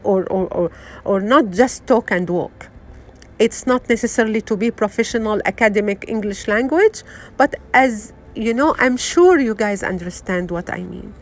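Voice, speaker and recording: A middle-aged woman from Syria with a Syrian Arabic accent, giving an unscripted motivational talk, earnest and halting, full of fillers and restarts. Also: mid-range voice, expressive. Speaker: wellness vlogger.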